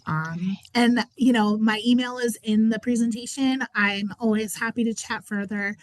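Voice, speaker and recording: Woman guest presenter, froggy hoarse voice, dry video-call audio, casual chatter. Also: a little high, normal speed, dry recording, poor audio. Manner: chatty, uses "like" and "um". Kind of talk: casual talk in a webinar Q&A.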